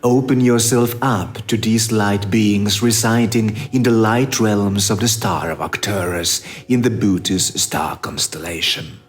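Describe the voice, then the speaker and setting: A man narrating a scripted guided meditation in a measured, instructive manner with long pauses. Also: fairly low pitch, fairly even intonation, soft background music.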